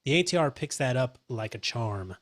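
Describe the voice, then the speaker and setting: A man hosting a casual roundtable chat, talking in an animated, emphatic, opinionated way into a dynamic mic pointed up at his face. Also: medium pitch, moderate pace. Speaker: host.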